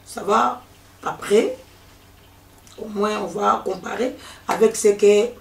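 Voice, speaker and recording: A woman's voice: mid-range voice, takes it slowly, creaky, recorded from a distance, slight background noise. A woman in her forties from Congo, raised in Angola, who tells her story with emphasis and repeats her points. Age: 40s. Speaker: guest. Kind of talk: personal testimony in a discussion. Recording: echoey room, speaker off mic.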